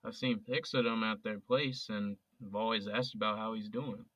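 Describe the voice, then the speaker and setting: A man's voice: on the low side, steady tone, moderate pace, a bit bright, dry recording. An actor playing a sincere young male police officer who talks casually and earnestly with his best friend. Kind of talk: audio drama acting. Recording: dull, dry, lo-fi recording.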